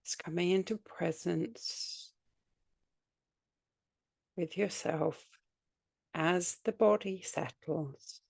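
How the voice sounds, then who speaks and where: A woman guiding a yoga nidra relaxation, speaking soothingly in short, unhurried phrases with long pauses between them. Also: on the low side, vocal fry, a little mellow, breathy edge, no room sound. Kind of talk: guided meditation, spoken relaxation practice.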